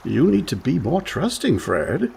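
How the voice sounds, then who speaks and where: An actor playing a breezy, smooth-talking con man older brother with a glib, theatrical manner in a comic radio play. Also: on the high side, wildly swooping pitch, a little slow, a little mellow, exaggerated voice. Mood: glib, persuasive.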